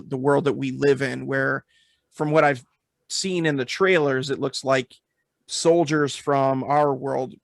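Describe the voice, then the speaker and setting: Man with a creaky, close-recorded voice. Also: medium pitch, no room sound. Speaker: podcast host. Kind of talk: casual movie review chat.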